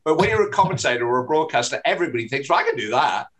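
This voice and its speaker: A middle-aged man from England, a football commentator, telling stories in a relaxed interview with restarts and self-deprecating humour. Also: a little high, very fast. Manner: chatty storyteller, frequent restarts and self-deprecating laughs. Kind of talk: relaxed anecdotal interview talk.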